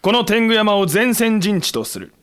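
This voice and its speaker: A man's voice: high voice, sing-song, normal speed, a bit bright. An actor playing a blunt, wry samurai commander who protects his men, in a period audio drama with terse, commanding delivery. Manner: terse, commanding, gruff phrasing.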